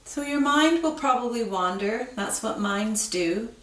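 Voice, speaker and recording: A woman teaching yoga, guiding a breathing meditation with slow, calm, soothing instructions. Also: on the high side, echoey room, recorded from a distance.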